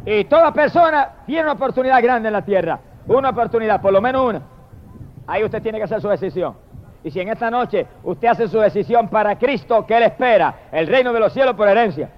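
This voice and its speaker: High-pitched man preaching fervently, muffled recording. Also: animated, a little fast. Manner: emphatic and declamatory, drawing out exalted phrases, addressing listeners directly. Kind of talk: fervent evangelical sermon. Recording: dull lo-fi sermon recording.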